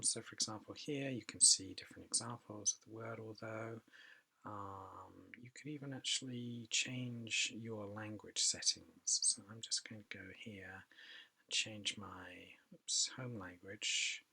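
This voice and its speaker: Husky man giving a casual software demo. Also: fairly low pitch. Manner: relaxed, thinking aloud with many ums and asides. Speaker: product demonstrator.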